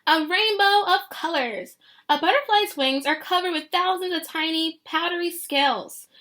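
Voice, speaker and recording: High, bright-voiced woman reading to children. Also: normal speed. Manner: animated, questioning, talks directly to children. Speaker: teacher reading a picture book to children. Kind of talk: read-aloud lesson for young children.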